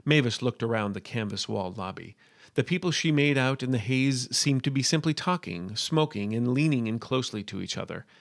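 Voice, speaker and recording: Creaky male story narrator, dry recording. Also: medium pitch, lively intonation, fairly quick, dry recording. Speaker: narrator and author. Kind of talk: scripted story narration and notes.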